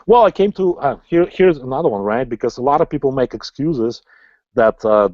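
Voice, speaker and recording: A man's voice: medium pitch, lively intonation, fairly quick, dry recording. Motivational non-native English-speaking man coach. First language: not English. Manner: motivational, tags lines with "right?". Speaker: online marketing coach. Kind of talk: sales webinar pitch. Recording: slightly dull lo-fi audio.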